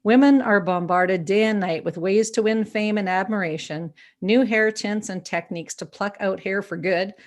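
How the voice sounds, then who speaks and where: A woman's voice: mid-range voice, normal speed, few pauses. A woman from Canada hosting an interview, effusive and chatty. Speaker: interview host. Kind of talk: conversational interview.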